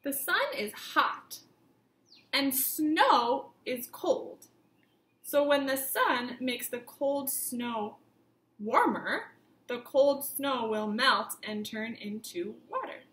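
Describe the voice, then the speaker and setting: Slow, high-voiced woman reading to children. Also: lively intonation, a bit bright, reverberant. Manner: simple instructive phrasing, questions addressed to children. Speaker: early childhood teacher. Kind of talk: read-aloud picture book lesson for young children.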